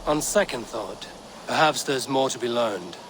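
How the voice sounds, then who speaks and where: A stern Norse warrior played by a male actor in a video game. He probes and questions in firm, terse phrases at a medium pitch. Light ambient noise runs underneath. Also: expressive, a little slow, lightly acted. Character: stern, probing Viking warrior investigating a betrayal. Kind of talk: video game dramatic acting.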